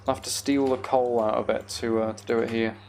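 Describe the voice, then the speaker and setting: Casting: male game streamer, creaky voice, rambling and mock-exasperated, faint background noise. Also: mid-range voice, level tone, fairly quick, a little mellow. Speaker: game streamer hosting a live chat stream. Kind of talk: casual gaming commentary and chat.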